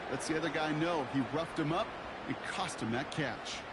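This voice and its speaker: A man's voice: on the high side, normal speed, natural voice. A man with an American accent voicing a confident football color commentator, conversational and emphatic as he explains. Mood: analytical. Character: confident male football analyst in the broadcast booth. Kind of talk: football video game analysis. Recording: game audio with loud stadium noise. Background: loud stadium noise.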